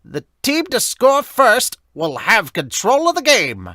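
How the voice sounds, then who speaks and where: Man acting as sports manga announcer, raised pitch, forceful, theatrical, loud drawn-out calls. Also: wildly swooping pitch, dry recording, clean studio sound, exaggerated voice. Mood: dramatic. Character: booming, dramatic sports manga announcer and umpire.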